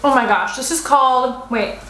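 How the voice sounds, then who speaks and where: A woman's voice: high voice, moderate pace, pushed and bright, echoey room, slight background noise. A young woman in her twenties, vlogging a casual mukbang chat in a restaurant, rambling with many fillers and restarts. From United States. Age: 20s. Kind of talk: casual mukbang chat, answering viewer assumptions.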